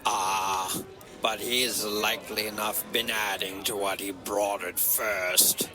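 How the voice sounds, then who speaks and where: A man's voice: lowish voice, flat delivery, takes it slowly. An actor playing a suspicious, sour rustic hobbit in an audio drama, grumbling and insinuating as he gossips. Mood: suspicious, scornful. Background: music.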